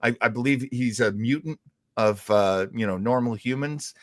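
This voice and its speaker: A man's voice: medium pitch, normal speed, no echo. Man hosting a casual chat, close dry sound. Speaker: host of a livestream about classic radio and comics. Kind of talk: casual live chat commentary.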